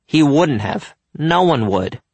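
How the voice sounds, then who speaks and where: Male narrator as teenage schoolboy, blunt, needling, sarcastic, raised pitch, audiobook dialogue. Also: very animated, takes it slowly, a little mellow, husky, no room sound, full character voice. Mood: goading. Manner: sarcastic, goading.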